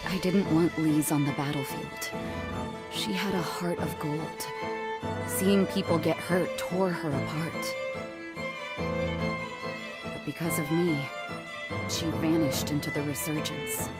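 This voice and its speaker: Guarded big-sister warrior, low voice, commanding, earnest, protective, game cutscene, loud music behind. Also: female voice, fairly even intonation, takes it slowly, long pauses. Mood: reflective. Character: resolute, protective female hunter commander, guarded older sister. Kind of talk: dramatic video game cutscene acting.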